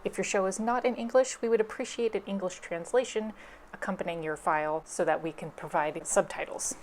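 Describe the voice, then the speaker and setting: A woman's voice: medium pitch, a little fast, a little mellow, a little hiss or hum. A woman library presenter giving scripted instructional narration, explaining things step by step.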